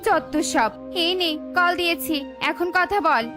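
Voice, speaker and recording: A woman's voice: extremely high pitch, animated, a little slow, over music. A woman voicing a grumpy young female bird neighbour in a children's cartoon, scolding and impatient. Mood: annoyed, scolding.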